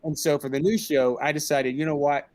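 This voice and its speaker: A man's voice: a little high, fairly even intonation, a little fast, a little mellow. A middle-aged man talking conversationally as an interview guest on a group video call from a room indoors. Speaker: interviewed director and audio drama creator.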